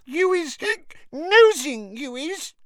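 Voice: takes it slowly, pushed and bright, no echo, clean studio sound, heavily acted. A storybook narrator voicing a drunk, weepy female house elf who hiccups and slurs. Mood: indignant. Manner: hiccuping, squeaky, slurred.

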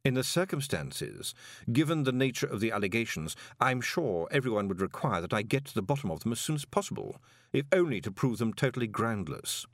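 Narrator playing a cool, menacing ruler; level, measured, dry close sound. Storybook. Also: male voice, medium pitch, dry recording. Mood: cold. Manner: calm, measured, quietly menacing. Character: calm, icy, commanding male ruler.

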